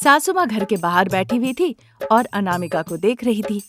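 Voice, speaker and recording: A woman's voice: mid-range voice, sing-song, normal speed, music in the background. A woman narrator reading a scripted moral story for a cartoon.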